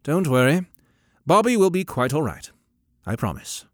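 A man reading an audiobook, voicing a calm, refined gentleman who speaks gently and in measured phrases. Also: a little high, no echo, clean studio sound, voice pushed up.

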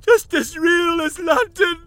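A man voicing a frantic male professor in a spoken horror tale, crying out at a high shout. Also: takes it slowly, dry recording. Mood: frantic awe.

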